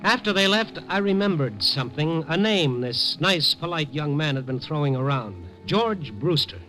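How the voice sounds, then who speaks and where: A wisecracking hardboiled reporter. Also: male voice, fairly high pitch, expressive, normal speed, sharp tone, low-quality recording. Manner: dry, wisecracking, laconic. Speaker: narrator. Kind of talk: 1950s radio drama narration. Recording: old radio broadcast, music bed.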